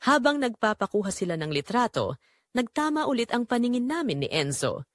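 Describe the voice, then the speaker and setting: Woman playing a guilt-ridden mother, audio drama narration, animated pitch swings, confessional, measured storytelling, dry close sound. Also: no room sound. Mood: longing, tense. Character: guilt-ridden, secretly longing mother of a bride. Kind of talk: scripted first-person dramatic narration.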